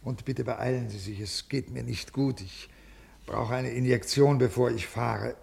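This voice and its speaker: A man's voice: mid-range voice, steady tone, measured pace, gravelly, a little mellow, slight background noise. An actor playing a rigid, ailing male bureaucrat in a 1950s radio play, officious, formal and brusque. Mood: curt, impatient.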